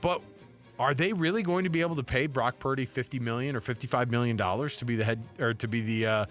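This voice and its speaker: Bright-voiced American man hosting sports talk. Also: medium pitch, fast talker, faint music behind. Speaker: sports talk show co-host. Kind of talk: casual sports banter, reading quotes and reacting.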